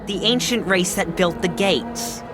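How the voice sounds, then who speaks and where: A woman's voice: lowish voice, measured pace, natural voice. A woman playing an enthusiastic scientist explorer in a sci-fi game. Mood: amazed. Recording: music behind the voice.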